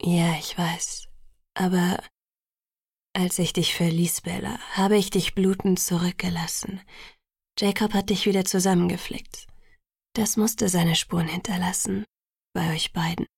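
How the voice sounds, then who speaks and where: A patient, soothing young lover in an audiobook, played by a woman. He speaks in a soft murmur, breathy and gravelly at the edges. The sound is close and dry. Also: on the low side, fairly even intonation, a little slow, no echo, exaggerated voice. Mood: soft, understanding. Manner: hushed, murmured, softly spoken. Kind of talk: audiobook dialogue.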